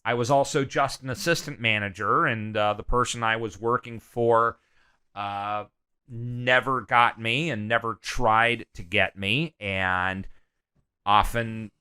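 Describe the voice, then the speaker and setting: Middle-aged male guest, bright and crisp tone, loud and animated, many fillers. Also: on the low side, slow. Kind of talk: candid conversational interview.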